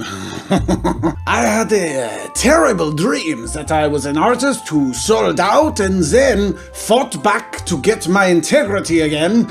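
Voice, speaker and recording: A man voicing a vain, melodramatic French artist with a fake French accent, pompous and halting, in comedic game narration. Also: sits high, gravelly, full character voice. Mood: groggy, woozy. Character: melodramatic, vain French male artist, wine-loving, alone in tower. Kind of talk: comedic gameplay narration in character.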